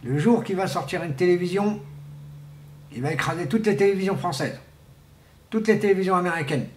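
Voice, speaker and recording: A man giving an informal, rambling monologue to viewers, speaking haltingly and often repeating words and restarting. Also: sits high. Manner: often clears throat. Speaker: vlogger. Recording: echoey room with faint background noise.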